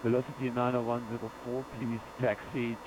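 A man with a German accent, not a native English speaker, giving air traffic control calls in halting, apologetic set phrases. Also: medium pitch, flat delivery.

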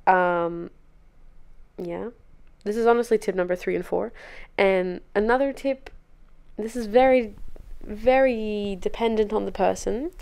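Young woman with a creaky, clear voice. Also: medium pitch, a little slow, pauses often, a little mellow. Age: 20s. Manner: chatty, candid, encouraging. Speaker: podcast host and dance teacher. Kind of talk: casual solo podcast advice talk. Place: living room sofa, indoors.

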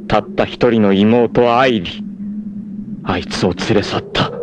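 An actor playing a proud, self-sacrificing long-haired martial artist in a 1980s anime, speaking solemnly and dramatically with fierce shouts in battle. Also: male voice, fairly low pitch, a little slow, very noisy, lightly acted. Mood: solemn reflective.

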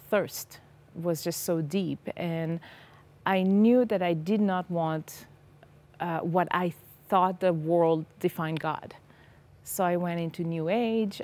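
A woman's voice: lowish voice, warm tone. Earnest woman, hesitant speech. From Israel. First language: Hebrew. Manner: earnest, hesitant, many ums. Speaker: guest. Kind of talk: personal testimony in a TV interview. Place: TV studio set. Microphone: lapel.

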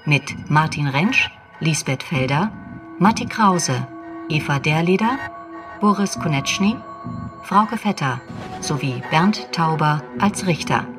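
A woman's voice: low voice, animated, slow, music in the background. A woman reading scripted credits as a radio announcer.

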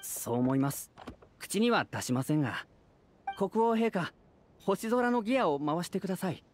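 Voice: mid-range voice, expressive, normal speed, a little mellow, a little hiss or hum, natural voice. An actor voicing a humble, anxious citizen of a fairytale town in a Japanese video game, speaking formally and earnestly. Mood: humble, apologetic. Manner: formal, deferential, earnest speech.

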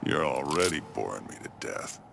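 An actor playing a big, gruff, world-weary demon brawler in a video game, with a gravelly, deadpan delivery. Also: male voice, extremely low pitch, heavily acted. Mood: gruff, unimpressed. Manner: deadpan, unhurried. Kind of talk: video game character dialogue. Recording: game audio with background noise.

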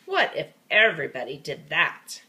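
Woman playing scolding storybook grown-up, stern, emphatic, bright, animated pitch. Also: moderate pace, full character voice. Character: stern scolding grown-ups questioning a naughty child.

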